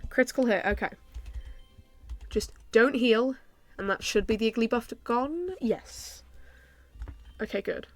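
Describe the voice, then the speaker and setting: A woman's voice: on the high side, unhurried, long pauses, dry recording, soft background music. Stuffy-nosed young woman thinking aloud. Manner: thinks aloud with many ums, soft laughs. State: stuffy from a cold. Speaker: gaming YouTuber. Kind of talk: casual game commentary.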